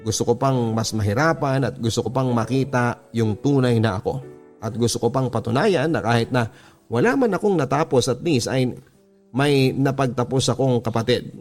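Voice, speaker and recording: A man's voice: mid-range voice, darkish tone. Filipino man storytelling over background music. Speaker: host and narrator of a story show. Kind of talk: dramatic reading of listener letters, radio storytelling.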